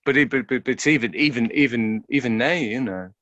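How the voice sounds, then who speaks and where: A man being interviewed as an actor, talking reflectively and informally in a halting, searching way, often repeating words. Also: medium pitch, fairly bright tone, no room sound, dull audio.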